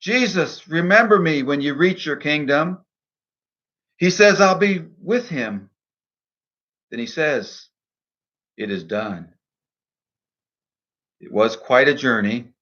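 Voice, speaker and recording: A man speaking in a measured, earnest, deliberate way, in the style of a devotional poetry reading and reflection. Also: a little high, animated, a bit bright, muffled recording. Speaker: minister and poet reading own poem.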